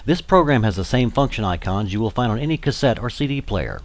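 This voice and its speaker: A man's voice: medium pitch, lively intonation, fast. A man giving scripted, step-by-step instructional narration as a tutorial narrator for volunteers.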